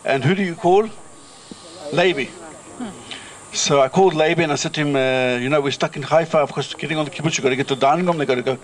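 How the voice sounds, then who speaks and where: A man's voice: fairly high pitch, fast talker. A middle-aged man from South Africa with a South African accent, chairing a kibbutz and giving a chatty, anecdotal speech to a reunion crowd outdoors. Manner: chatty anecdotal storyteller with filler-laden restarts.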